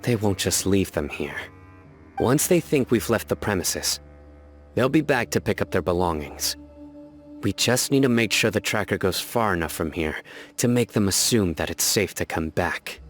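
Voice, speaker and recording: An actor voicing a stern, composed young warrior in a game, speaking in a measured, serious way. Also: male voice, on the low side, animated, soft background music, lightly acted. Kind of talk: game cutscene voice acting.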